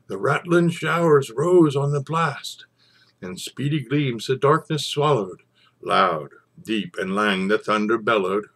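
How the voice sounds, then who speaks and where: A man speaks as a storyteller at a very unhurried pace. His voice is husky and rough-edged. He is theatrical, lingering over phrases and leaving pauses between them. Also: mid-range voice, a bit bright. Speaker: storyteller and poetry reader. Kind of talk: informal introduction, then dramatic poetry recitation.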